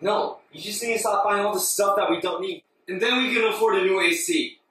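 A man's voice: high voice, fairly quick, pushed and bright. A man acting as a worn-down young husband, pleading and exasperated in a dramatic domestic argument. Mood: weary pleading. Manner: pleading, strained, accusing. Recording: very echoey room, far from mic.

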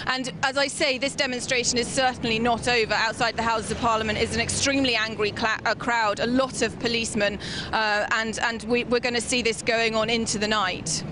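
A woman's voice: a little high, fairly even intonation, brisk, background noise. A woman reporting live from a city street at night into a handheld mic, fluent but dotted with fillers and restarts. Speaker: field reporter.

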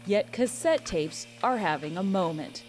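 An American woman delivers scripted news narration as a correspondent. Her voice sits at a medium pitch, with some music playing behind her. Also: lively intonation, unhurried, darkish tone. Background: background music.

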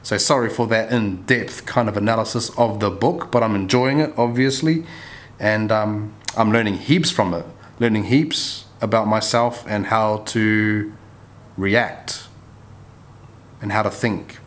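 A man's voice: fairly low pitch, unhurried. A man chats casually to camera, laid-back and slangy, often repeating his phrases. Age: 30s. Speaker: barber vlogger. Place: small bare barbershop room. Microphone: camera mic at arm's length or nearby. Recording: clear background noise, room ambience.

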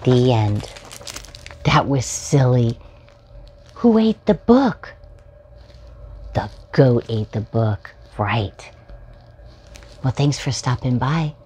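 A woman in her forties reading a children's picture book aloud as a storytime host, in simple, deliberately spaced phrases for preschoolers. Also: extremely low pitch, animated, dark tone. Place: small furnished room at home, indoors. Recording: home recording with faint background noise.

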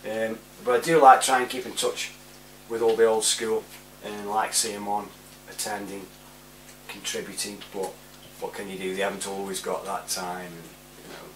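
A British man with a fairly low voice talks casually to the camera. He rambles in a laid-back way, as a vlogger would. The sound is echoey and a little distant from the microphone. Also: level tone, moderate pace. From United Kingdom. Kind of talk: casual chat to camera, giving shout-outs. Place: small room indoors at home. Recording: roomy, distant mic with faint hum.

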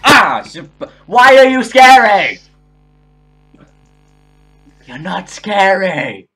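A man's voice: high voice, very animated, very slow, a bit bright, husky, soft background music. A young man giving dramatic, complaining, exclaiming commentary while playing a horror game. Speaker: video game player and host. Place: indoor room at a computer, on webcam.

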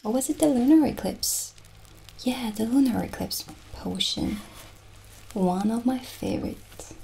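Woman as a hushed, slow-talking witch shopkeeper. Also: a little high, lively intonation, gravelly, a little hiss or hum, poor audio. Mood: calm, chatty. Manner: hushed, unhurried, often dropping into whispers. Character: gentle, chatty witch shopkeeper selling potions. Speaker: ASMR roleplayer. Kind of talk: improvised ASMR roleplay, soft-spoken and whispered. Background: original music.

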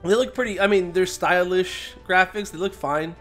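A man's voice: sits high, moderate pace, a bit bright. A man co-hosting a gaming news show, chatting casually and giving his take on what is shown. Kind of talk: casual chat commentary. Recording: music behind the voice. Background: background music.

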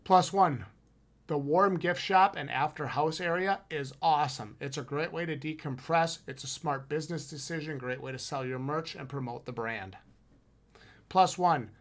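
A man's voice: a little high, moderate pace. A man in his thirties with a Wisconsin accent, co-hosting a haunted house review podcast in an enthusiastic, emphatic, slangy way from a home room over a headset mic. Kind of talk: casual review chat. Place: home room with shelves, indoors. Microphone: headset with boom mic on video call.

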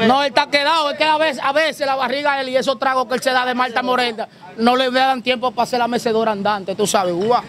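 Very high-voiced boastful guy. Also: fairly even intonation, quick pace, fairly bright tone. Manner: boastful, slangy, playful bravado. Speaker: interviewee. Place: city street outdoors. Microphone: handheld mic held by interviewer.

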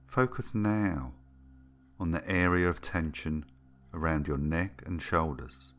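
A man with a very low voice leads a guided meditation. He speaks soothingly and gently, taking his time and leaving space between phrases. Music plays underneath his voice. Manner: soothing, gentle, unhurried with long pauses. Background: background music.

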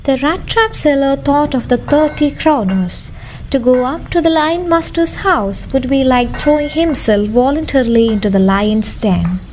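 A woman's voice: high voice, moderate pace, a little mellow, a little hiss or hum, low-quality recording. A young woman, a student narrator, reading scripted story narration for a radio drama. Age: young adult.

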